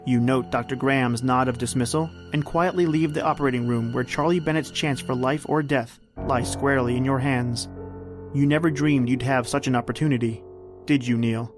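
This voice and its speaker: A man's voice: mid-range voice, steady tone, moderate pace, over music. A man hosting and narrating a scripted old-time radio style suspense drama, close to the mic. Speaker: podcast host and narrator.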